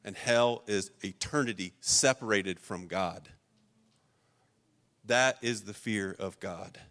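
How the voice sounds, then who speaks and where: A man delivers a sermon in a fairly low voice. He talks earnestly and emphatically, with louder bursts on key points and softer confiding asides. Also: slow pace. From rural Ohio. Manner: earnest and emphatic, swinging between raised stresses and quiet personal asides. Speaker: pastor.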